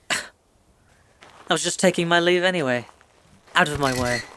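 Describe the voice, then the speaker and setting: A man's voice: on the high side, measured pace, sharp tone. A man playing an entitled sixteen-year-old village bully with haughty, taunting archaic speech in a period audio drama. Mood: dismissive.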